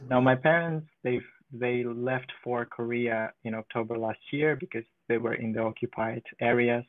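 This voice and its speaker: A man from Ukraine, a guest in an interview, speaking in a thoughtful, measured way with frequent pauses. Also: medium pitch, fairly even intonation, dry recording. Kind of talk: conversational interview. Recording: tinny phone-like line.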